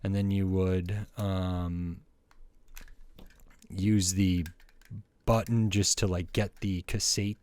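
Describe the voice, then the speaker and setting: A middle-aged man giving a casual hands-on review, speaking in a halting, hesitant way with frequent pauses. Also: low voice, level tone, darkish tone. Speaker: toy review channel host.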